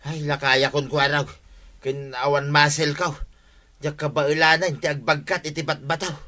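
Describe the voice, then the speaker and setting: A hapless villager in an Ilocano radio comedy drama. His voice is bright and a little high for a man. He whines, apologizes and makes excuses. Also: flat delivery, normal speed. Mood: bantering.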